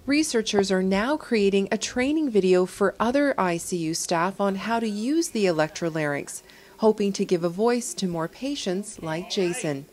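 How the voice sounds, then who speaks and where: A woman reporter delivers scripted news narration in a medium-pitched voice. There is faint noise behind her words. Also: animated, normal speed, darkish tone.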